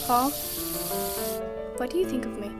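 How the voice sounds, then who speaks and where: A woman playing a sulky, blunt, self-absorbed high school girl in an audio drama. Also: animated, normal speed, loud music behind the voice. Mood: sulky, probing.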